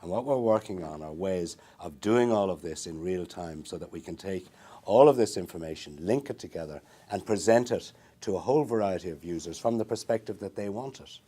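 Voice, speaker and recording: Low-voiced man explaining at length. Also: lively intonation, fairly quick, mellow. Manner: thoughtful, many fillers and restarts, long building sentences. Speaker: marine research interviewee. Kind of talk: explanatory interview talk. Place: small indoor interview room.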